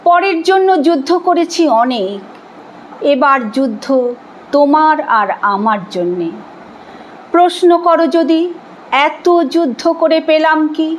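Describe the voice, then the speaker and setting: A woman reciting poetry in an expressive, dramatic style, with measured, deliberate phrasing and pauses. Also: high-pitched, a little hiss or hum, low-quality recording.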